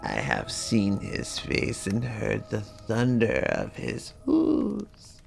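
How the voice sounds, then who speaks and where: Slow, chanting ancient crone. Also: male voice, lowish voice, wildly swooping pitch, vocal fry, soft background music, heavily acted. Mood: solemn, exalted. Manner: very drawn-out, incantatory. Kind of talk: dramatic storybook character reading.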